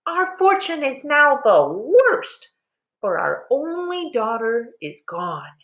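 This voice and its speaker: A high, wailing, melodramatic mother. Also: sing-song, slow pace, dull audio, exaggerated voice. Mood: wailing, despairing. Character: anguished, melodramatic mother, quick to wail and despair.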